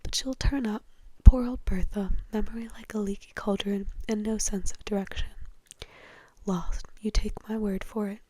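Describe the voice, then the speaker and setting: A woman voicing a jovial, carefree wizard man in a breathy whisper, chummy and breezy, for a storybook reading. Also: mid-range voice, fairly even intonation, normal speed, mellow, no room sound, lightly acted. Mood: comfortable.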